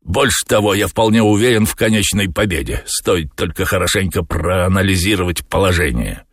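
A man's voice: low-pitched, moderate pace, no echo, clean studio sound. A man voicing a theatrical talking tomcat in an audiobook, a wheedling chess cheat full of fussy, falsely anxious indignation. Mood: blustering confidence. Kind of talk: audiobook character voice.

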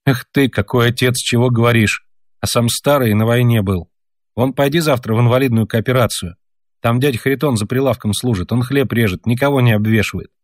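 A man's voice: mid-range voice, brisk, no echo, clean studio sound, voice pushed up, natural voice. A man doing an earnest, indignant peasant boy. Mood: storytelling. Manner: earnest, lecturing, eager storytelling.

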